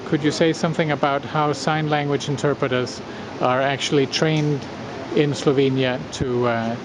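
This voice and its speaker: A man interviewing formally, careful and hesitant as he rephrases his questions. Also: fairly high pitch. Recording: clear background hum and noise.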